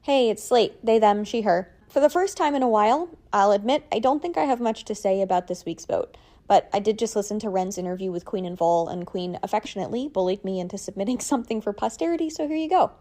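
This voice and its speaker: A woman recording a casual listener voice message, giving opinions in a measured, informal, wry way. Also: mid-range voice, warm tone.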